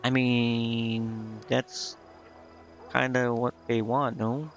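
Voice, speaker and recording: A man with a mid-range voice commentates a game in a loose, casual streamer style. He talks informally and haltingly, reacting as he goes. The sound is slightly lo-fi and muffled, with game music playing behind him. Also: medium pitch, level tone. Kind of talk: casual let's-play commentary, reading dialogue aloud. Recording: dull lo-fi mic, music behind.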